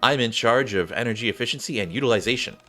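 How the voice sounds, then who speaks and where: A man's voice: mid-range voice, quick pace, bright, forceful tone, faint music behind, natural voice. A man voicing an eager, cheeky young gamer girl in read-aloud game dialogue, chatty in manner.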